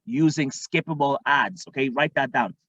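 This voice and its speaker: A man from Tanzania, a marketing coach, teaching in an energetic way and often checking in with the listener. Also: medium pitch, moderate pace, no room sound. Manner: energetic, repeats "okay?" often. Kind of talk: instructional screen-share talk. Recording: dull lo-fi dry sound.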